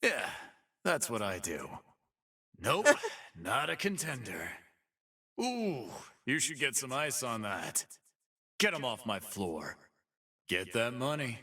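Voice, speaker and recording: A man's voice: mid-range voice, sing-song, slow, pauses often, sharp tone, recorded from a distance, exaggerated voice. An actor voicing a cocky, brutish half-human fight-pit boss for a video game, with a swaggering, taunting, slangy tough-guy drawl. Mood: boastful. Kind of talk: video game character voice lines.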